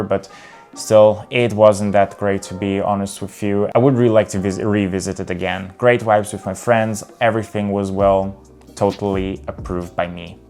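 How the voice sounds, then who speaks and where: A man's voice: fairly low pitch, a little mellow. A young man from Serbia, talking candidly and a bit ramblingly about his travels in a casual vlog. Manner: candid, rambling with restarts and fillers. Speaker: travel vlogger. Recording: faint guitar music behind.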